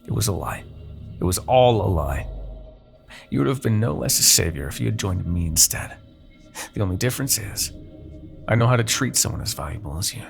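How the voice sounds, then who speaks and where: A man playing a haughty, seductive demon king in a fantasy audio roleplay, persuading with silky condescension, dramatic pauses and a gravelly voice. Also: lively intonation, faint music behind. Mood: bitter, persuasive. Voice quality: gravelly, creaky low voice. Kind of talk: fantasy ASMR roleplay monologue.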